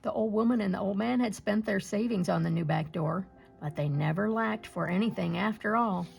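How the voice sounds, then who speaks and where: A woman storyteller reading a picture-book folktale aloud in an expressive way. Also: mid-range voice, brisk, vocal fry, soft background music.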